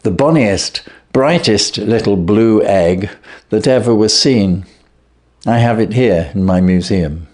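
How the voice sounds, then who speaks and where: A man voicing a shrewd, calm gentleman detective who speaks blandly and with sweet irony. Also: lowish voice, takes it slowly. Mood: cheery. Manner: bland, sweetly ironic, assured.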